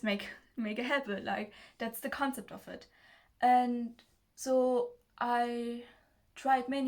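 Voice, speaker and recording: A nineteen-year-old woman from Germany with a German accent, talking casually to the camera in a nervous, hesitant, halting way as a non-native speaker. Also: a little high, darkish tone. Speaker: self-development video creator. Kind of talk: casual personal talk to camera.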